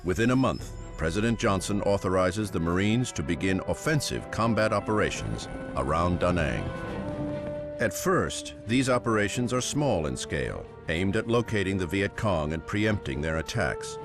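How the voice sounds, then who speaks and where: Deep-voiced war documentary narrator. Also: male voice, expressive. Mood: solemn, measured. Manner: measured, formal. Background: music.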